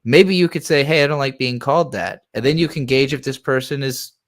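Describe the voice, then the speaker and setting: A man's voice: mid-range voice, brisk. Male streamer, mid-range voice, loose conversational reaction commentary, rambles and swears freely. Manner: conversational, rambling, sweary, acts out imagined replies. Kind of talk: casual unscripted reaction commentary.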